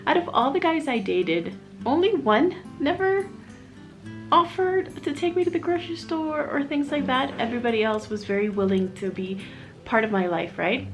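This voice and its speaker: A woman's voice: sits high, animated, moderate pace, faint music behind. A young adult woman from Miami, chatting candidly and reflectively in a casual personal vlog, with fillers and restarts. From Miami, USA. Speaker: vlogger, single mom living in Mexico.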